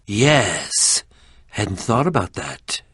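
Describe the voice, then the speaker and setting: An actor voicing an eccentric, prickly and curious middle-aged adventurer in a video game, with a pompous, theatrical manner and formal wording. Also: male voice, lowish voice, sing-song, extremely slow, fairly bright tone, breathy edge, no room sound, clean studio sound, full character voice. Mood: pompous, musing.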